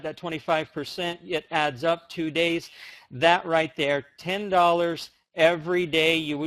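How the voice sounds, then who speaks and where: American man with a higher-pitched, dry-sounding voice. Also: fairly bright tone, no room sound. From United States. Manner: enthusiastic, emphatic. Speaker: conference call presenter. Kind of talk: motivational sales pitch on a conference call.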